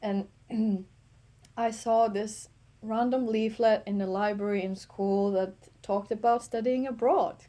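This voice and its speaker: A woman from Latvia, co-hosting a podcast in casual chat, relaxed and quick with little asides. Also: medium pitch. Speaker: podcast co-host.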